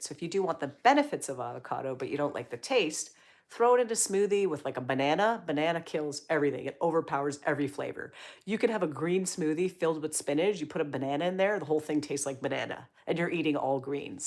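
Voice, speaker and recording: Woman, livestream host, slightly low voice, chatty and encouraging. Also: expressive, quick pace, a little mellow. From Canada. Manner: chatty, upbeat, encouraging, reads viewer comments aloud. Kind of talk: casual livestream chat reading comments.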